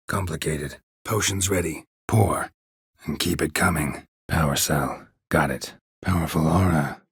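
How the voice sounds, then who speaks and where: A gruff, world-weary monster hunter for a video game. His voice is very low and heavily rasped, recorded dry and close. He speaks in terse, deadpan phrases with a stoic temper and dry understatement. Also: no echo, heavily acted. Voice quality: gravelly, husky rasp. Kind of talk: video game character dialogue.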